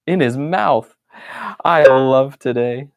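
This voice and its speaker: A man's voice: mid-range voice, takes it slowly, no room sound, muffled recording. A man voicing a cheerful, happy-go-lucky wooden stick who reads his diary with bubbly enthusiasm.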